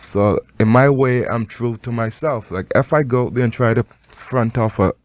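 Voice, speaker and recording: A man with a Barbadian-Canadian accent, a rap artist talking in a radio studio interview, animated and emphatic, with frequent restarts. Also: mid-range voice, moderate pace. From Barbados, raised in Canada.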